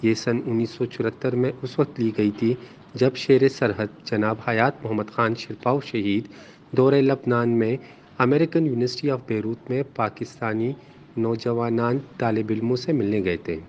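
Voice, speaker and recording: A man's voice: mid-range voice, fairly even intonation, moderate pace, darkish tone. A man reads a scripted tribute in a formal, literary and reverent manner. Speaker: political party youth leader. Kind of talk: scripted narration of a written tribute column. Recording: dull lo-fi audio with clear noise.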